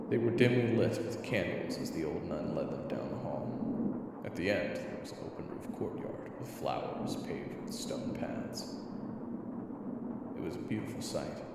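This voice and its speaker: A man's voice: deep voice, vocal fry, distant mic, exaggerated voice. A man reading scripted story narration as the narrator of an audio drama. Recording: echoey hall reverb, music behind.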